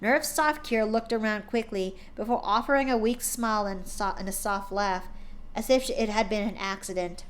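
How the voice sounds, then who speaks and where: A woman's voice: mid-range voice, moderate pace. A woman streamer reading fan fiction aloud live, casually stumbling and correcting herself.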